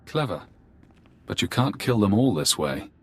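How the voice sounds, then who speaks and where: Low, cryptic male phantom voice. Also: sing-song, measured pace, breathy edge, full character voice. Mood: taunting. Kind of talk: video game voice acting.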